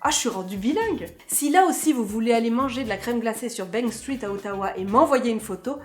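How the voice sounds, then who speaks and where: High-voiced chatty woman vlogger. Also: expressive, brisk, faint music behind. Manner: playful, chatty, joking asides. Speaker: vlogger host. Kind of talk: casual vlog monologue.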